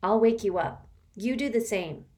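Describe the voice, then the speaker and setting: A woman's voice: mid-range voice, expressive, normal speed, darkish tone, pitched above the performer's own voice, lightly acted. A woman reading an audiobook, voicing a nervous, earnest young boy who frets and questions. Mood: anxious. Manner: anxious, fretful questioning. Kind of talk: audiobook character voice.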